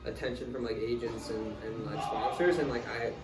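A man's voice: medium pitch, normal speed, over loud music. Laid-back young man, roomy distant sound. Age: young adult. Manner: relaxed, many fillers like "like" and "um". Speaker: guest, tennis player. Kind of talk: casual interview answers. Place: small room, in doors, seated in armchair. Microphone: far camera.

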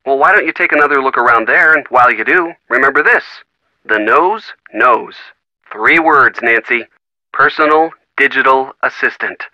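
A man's voice: mid-range voice, pushed and bright, no echo, dull audio. An actor voicing a helpful, wry young man giving hints in a video game.

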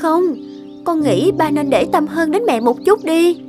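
An actress playing an earnest, worried young adult daughter, protective of her parents, in an animated drama. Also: high-pitched, unhurried, music in the background. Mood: concerned. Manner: earnest, pleading.

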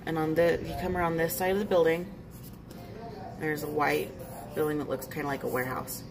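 A woman's voice: deep voice, flat delivery, brisk. A woman giving casual, direct directions. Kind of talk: casual talk. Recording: crowd noise behind.

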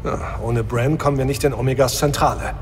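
Male actor as a defiant hero, mid-pitched, long reverb. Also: steady tone, brisk, slight background noise, natural voice. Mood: commanding. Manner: angry and defiant. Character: Rick, hot-headed young hero.

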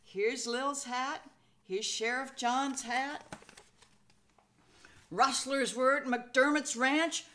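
An older American woman in her sixties reads a children's picture book aloud with expressive, folksy Western storytelling. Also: sits high, extremely slow, pauses often, a little hiss or hum. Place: indoor room at home.

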